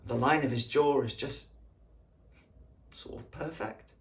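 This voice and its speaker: A man's voice: on the high side, animated. Man acting a wistful, earthy wartime soldier reminiscing. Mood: tender longing. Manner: colloquial, earthy, halting storytelling. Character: wistful British First World War soldier, tenderly reminiscing. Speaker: actor performing an audition monologue. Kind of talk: intimate stage monologue, reminiscing.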